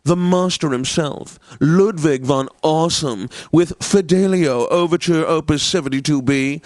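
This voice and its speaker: An actor playing a wealthy, self-satisfied, effete classical radio DJ in a video game, with affected, precise enunciation and theatrical announcing. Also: male voice, fairly high pitch, measured pace, a little mellow, dry recording, lightly acted. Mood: grandly rapturous. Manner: pompous, affected, precise enunciation, savoring words.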